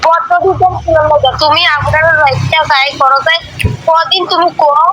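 A woman's voice: high-pitched, steady tone, a little fast. A woman with a rural eastern Bengali dialect in a casual domestic phone chat, complaining and arguing back, recorded over a call. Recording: phone call with faint noise.

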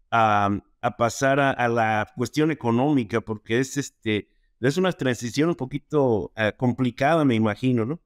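A man's voice: mid-range voice, clean tone, no room sound, studio quality. A man in his forties hosting an interview podcast in a casual, conversational style. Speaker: podcast host. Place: indoor room on a video call.

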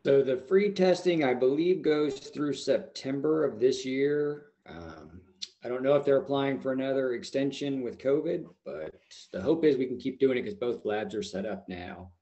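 A man's voice: mid-range voice, expressive, moderate pace, dark tone, dull audio. A man in his thirties, an agricultural specialist, explaining things in a matter-of-fact way on a video call. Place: small plain room indoors. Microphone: computer mic on a video call.

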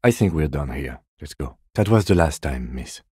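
A restrained, courteous police lieutenant for a video game. His voice is deep, dark and husky, delivered in a measured deadpan with a dry, sardonic edge. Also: dry recording, full character voice. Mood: calm, formal. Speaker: game character, police detective. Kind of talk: video game dialogue voice lines.

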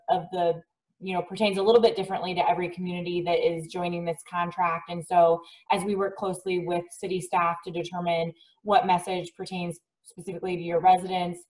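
Distant, even-toned woman on a remote call. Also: lowish voice. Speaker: waste agency staff member. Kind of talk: explaining plans in a remote public meeting. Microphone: phone or video call.

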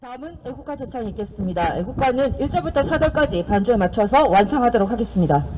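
A woman's voice: on the high side, expressive, fast talker. A woman serving as emcee of an outdoor press conference, announcing the program in a formal, declamatory way. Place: outdoors in front of a city hall. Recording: distant mic, loud outdoor noise.

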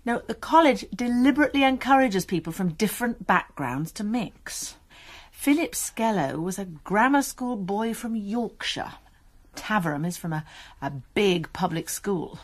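Woman doing a kind earnest college nurse who plays detective, radio play, even middling voice. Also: medium pitch, normal speed, lightly acted. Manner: gentle, earnest, persuasive. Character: Imogen Quy, female college nurse and amateur sleuth. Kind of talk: radio drama acting.

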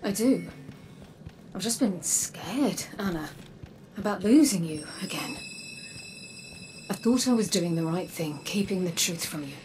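A woman's voice: lowish voice, expressive. Weary, resolute robot woman over music. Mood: tender. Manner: earnest, measured, solemn. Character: weary, resolute robot woman, a guarded sister from dark future. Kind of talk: dramatic video game acting. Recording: game music behind the voice. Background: game soundtrack music.

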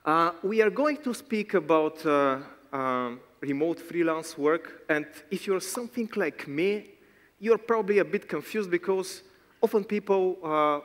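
A man with a Bulgarian accent giving a joking, self-deprecating conference talk on stage with a handheld mic. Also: a little high. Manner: wry, self-deprecating, teasing. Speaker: conference speaker. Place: large conference hall stage. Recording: long hall reverb.

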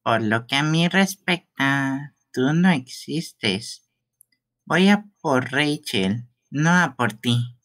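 A man's voice: mid-range voice, expressive, takes it slowly, fairly bright tone. A man voicing a scornful, possessive and menacing boy in an amateur video game dub. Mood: scornful, taunting. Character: taunting, possessive boy obsessed with coffins.

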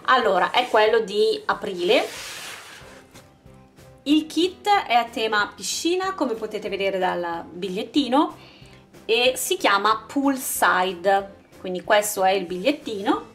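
A woman's voice: medium pitch, animated, slow pace, fairly bright tone. A woman hosting a craft channel, casually narrating an unboxing and presenting items one by one in an informal way. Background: background music.

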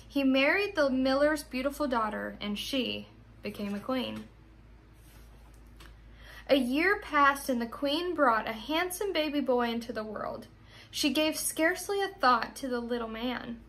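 A woman's voice: sits high, a bit bright, a little hiss or hum. A young adult American woman, a teacher reading and talking expressively to her pupils. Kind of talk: expressive storybook narration.

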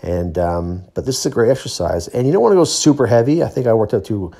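A middle-aged man with a fairly low voice narrates a training vlog. He talks casually and plainly, explaining things step by step like an athlete sharing his routine. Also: lively intonation, quick pace, gravelly, mellow.

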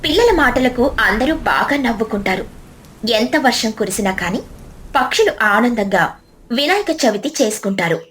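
High-pitched woman telling children's stories. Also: sing-song, moderate pace, fairly bright tone, slight background noise. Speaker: storyteller. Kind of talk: scripted children's story narration. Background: music.

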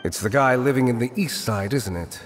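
An actor playing a shrewd, guarded bartender in a video game, dry and wary in manner. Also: male voice, medium pitch, lively intonation, brisk, a little hiss or hum, natural voice. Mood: wary, disdainful. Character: guarded, shrewd underworld bartender, adult man. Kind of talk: video game dialogue acting.

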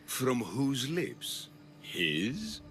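An actor playing a scheming, grandiose populist politician of ancient Athens in video game dialogue, with a gravelly, oratorical delivery. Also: male voice, medium pitch, wildly swooping pitch, extremely slow, a bit bright, faint music behind, exaggerated voice. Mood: insinuating.

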